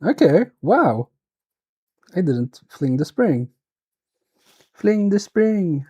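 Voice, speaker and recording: A man's voice: high-pitched, very animated, very slow, darkish tone, breathy edge. A man with a Swedish accent, thinking aloud and muttering to himself as he repairs a toy on a live stream. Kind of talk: casual live-stream commentary while repairing.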